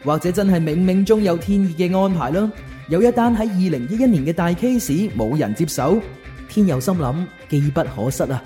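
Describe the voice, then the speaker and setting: A woman's voice: on the low side, lively intonation, normal speed, dark tone, faint music behind. A woman, narrating a scripted radio drama as a storyteller.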